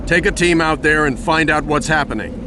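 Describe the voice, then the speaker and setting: An actor playing a stern, decisive warship captain in a video game, speaking in terse commands. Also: male voice, on the high side, brisk, noisy background, close to an everyday voice. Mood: urgent command. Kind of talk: video game cutscene acting. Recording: loud music behind the voice.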